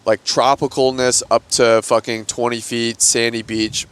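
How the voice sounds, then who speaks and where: A man's voice: medium pitch, level tone, a bit bright, clear, resonant tone. Rambling man in casual chat. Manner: rambling, halting, lots of fillers. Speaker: podcast co-host.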